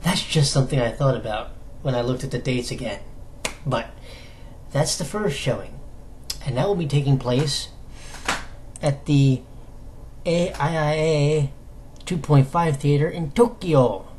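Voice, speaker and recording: An American man hosts a casual solo news vlog for fans. His delivery is unhurried and halting, conversational and given to rhetorical questions. His pitch sits in a middle range, and a light noise runs behind the voice. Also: a little mellow. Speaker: YouTube host giving fandom news. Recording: noticeable background noise.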